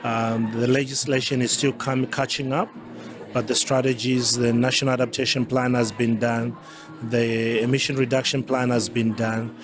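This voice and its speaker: A man in his forties from Zambia with a Southern African accent gives measured, formal answers as an interview guest. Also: mid-range voice, level tone, music in the background. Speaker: interview guest, climate change adaptation advisor. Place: busy festival venue. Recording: crowd chatter behind the voice.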